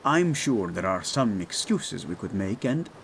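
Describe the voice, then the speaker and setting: A man performs a doting, playful king in a romantic audio drama. His voice has a gravelly edge and moves unhurriedly through very animated rises and falls. He speaks intimately, like a husband to his beloved. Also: on the low side, a little hiss or hum, exaggerated voice. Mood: reassuring. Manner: tender, playful, unhurried endearments. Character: devoted, teasing Spanish-speaking king and husband.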